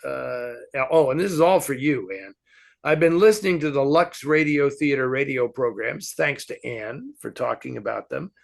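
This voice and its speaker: A man hosting a casual question-and-answer film discussion, talking in an animated, halting way as he thinks aloud. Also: mid-range voice, vocal fry. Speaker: host answering fan questions.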